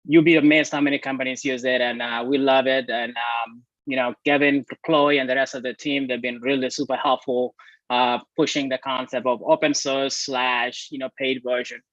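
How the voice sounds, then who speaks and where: A man with a Kenyan accent tells his story in a loose, unhurried way, dotted with ums. His voice is bright and forceful. The call audio is dry and a little dull. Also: mid-range voice, level tone, dry recording. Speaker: guest, software company CEO. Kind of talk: casual live-stream conversation.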